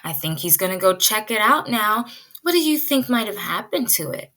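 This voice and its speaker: A woman pre-K teacher giving an expressive read-aloud lesson, drawing out key words and putting guiding questions to young listeners. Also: mid-range voice. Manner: drawn-out emphasis on key words.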